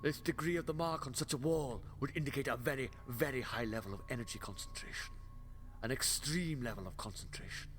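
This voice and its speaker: A man playing a wry, excitable, pedantic time-travelling alien adventurer in a fan audio drama. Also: mid-range voice, fairly quick, noisy background, lightly acted. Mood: intrigued, grave.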